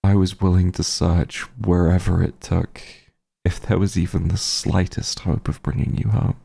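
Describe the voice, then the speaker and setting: A man playing a remorseful werewolf hunter in an intimate fantasy audio roleplay, speaking gently and haltingly with a husky, emotional voice. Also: low-pitched, flat delivery, exaggerated voice. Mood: earnest, haunted. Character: werewolf hunter, tender and remorseful, reunited with lost friend. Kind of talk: roleplay audio drama, intimate monologue to listener.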